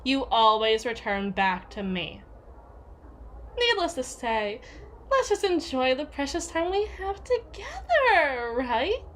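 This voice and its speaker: A woman's voice: high voice, sing-song, slow, a little hiss or hum, exaggerated voice. A woman playing a domineering, possessive, jealous young yandere in a scripted ASMR roleplay, with a sardonic, needling delivery. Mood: bitter, possessive. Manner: sardonic, foul-mouthed, needling, with hesitant trailing repeats.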